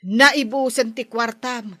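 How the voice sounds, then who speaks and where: A nagging thrifty ilocano wife, sharp and highish, scolding in a dry close radio drama sound. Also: female voice, steady tone, slow pace, no echo. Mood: exasperated, scolding. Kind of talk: Ilocano radio comedy acting.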